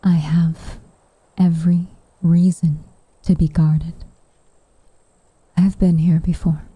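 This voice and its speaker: A woman voicing a guarded, secretly tender tsundere witch in soft, often whispered ASMR roleplay, with coy, hesitant, wryly formal phrasing. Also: fairly low pitch, little pitch movement, slow pace, husky, no room sound, heavily acted. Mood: guarded, wistful.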